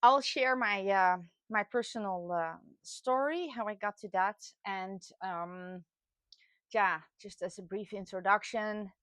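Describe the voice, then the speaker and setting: A woman's voice: mid-range voice, slow pace, fairly bright tone, dry recording. Dry-recorded Dutch woman in her late forties. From Netherlands. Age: late 40s. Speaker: vlogger sharing personal experience. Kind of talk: casual personal talk to camera.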